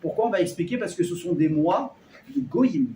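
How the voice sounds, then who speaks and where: A man giving a lively Torah class to a live audience, joking and quizzing the listeners as he teaches. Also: high-pitched, moderate pace, a little hiss or hum. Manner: animated, jokey, quizzes the audience. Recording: echoey room, mic at a distance.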